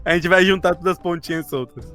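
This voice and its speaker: High-voiced man, playfully defensive game master. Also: fast, a bit bright. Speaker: game master recapping a tabletop RPG campaign. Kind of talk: casual group chat about a role-playing campaign. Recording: music behind the talk. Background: music.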